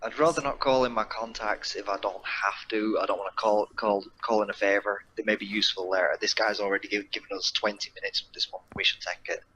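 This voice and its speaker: A man's voice: on the low side, steady tone, fast talker, hardly any pauses, sharp tone, lo-fi sound, a little hiss or hum. A man playing a tabletop roleplaying game, chatting casually in character in a hesitant, deliberating way.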